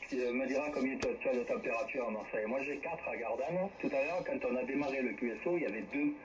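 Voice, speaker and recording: A man's voice: mid-range voice, quick pace, a loud crowd. A man running an amateur radio round, chatting casually over a shortwave transmission. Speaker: amateur radio net controller. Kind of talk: casual ham radio chat. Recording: muffled, noisy ham radio reception. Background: radio static and hiss.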